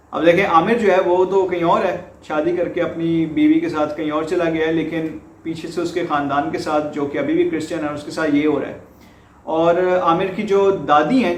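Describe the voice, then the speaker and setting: Male news presenter, Pakistani accent, high register, explanatory and emphatic through repetition, roomy echoing sound. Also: slight background noise. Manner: explanatory, repeats points for emphasis. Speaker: host presenting a news report. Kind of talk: explanatory news commentary to viewers. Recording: echoey room.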